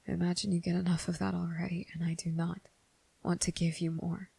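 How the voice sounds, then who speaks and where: Casting: male actor as gentle, tormented young vampire man for ASMR romance drama; heavy fry, husky, hesitant delivery. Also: sits high, flat delivery, dry recording, full character voice. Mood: anguished, fearful. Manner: hesitant, halting, breathy, hushed. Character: anguished gentle young vampire man confessing secret love.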